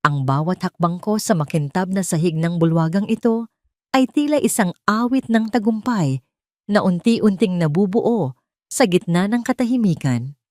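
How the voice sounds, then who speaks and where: A woman reading scripted first-person narration of a dramatic story in a measured, solemn way. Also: fairly low pitch, darkish tone, dry recording, clean studio sound.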